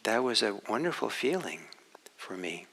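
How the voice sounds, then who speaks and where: A man from Norway giving a dharma talk as a meditation teacher, speaking in a reflective, unhurried way with halting restarts. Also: fairly low pitch, sing-song, vocal fry, sharp tone, slightly rough, low-quality recording.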